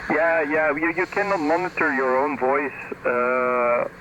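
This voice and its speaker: A man's voice: a little high, steady tone, unhurried. A man talking casually as an amateur radio operator, heard through a shortwave radio transmission. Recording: noisy ham radio reception. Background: clear radio noise.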